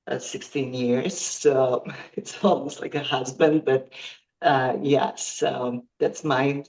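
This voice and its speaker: A woman, a guest on an interview podcast, speaking hesitantly with many ums and pauses while she searches for words. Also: very deep voice, fairly even intonation, darkish tone, poor audio. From Croatia. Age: 50s. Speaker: guest athlete.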